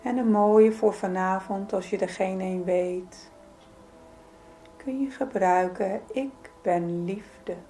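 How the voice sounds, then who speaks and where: A woman leading a guided yoga nidra meditation in a soothing, gentle, unhurried manner. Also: mid-range voice, slight background noise. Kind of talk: guided meditation, calm spoken instruction.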